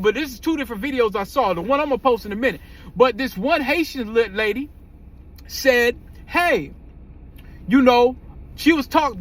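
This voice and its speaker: Very high-pitched man, blunt and profane. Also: fairly bright tone. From United States. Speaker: commentary host. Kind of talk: casual opinionated commentary.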